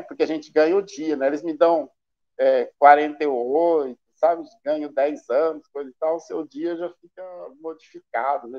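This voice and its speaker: A man in his fifties, a high school chemistry teacher, speaking affably to students over a video call. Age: 50s. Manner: affable, self-deprecating humor. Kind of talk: casual talk to students. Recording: dull lo-fi call audio.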